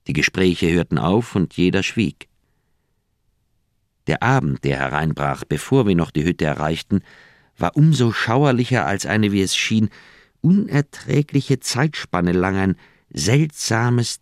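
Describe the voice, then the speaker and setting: Radio drama: grave older male doctor, very low voice, close dry sound, deliberate delivery of a haunted recollection. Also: no room sound. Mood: haunted, brooding. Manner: measured, deliberate, literary phrasing with dramatic pauses. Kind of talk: German radio play monologue, recollection.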